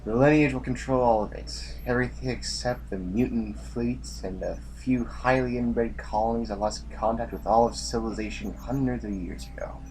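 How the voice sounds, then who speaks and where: A brooding, battle-weary male general acted by a man in an audio drama. He speaks at a medium pitch with the authority of command. Noise sits behind the voice. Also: normal speed, few pauses, darkish tone, lightly acted, rough recording. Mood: somber.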